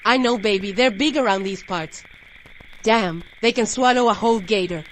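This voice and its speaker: Woman acting soothing mother, medium pitch. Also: moderate pace, slight background noise, close to an everyday voice, poor audio. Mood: reassuring. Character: gentle, protective Southern mother, quietly uneasy. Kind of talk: audio drama acting.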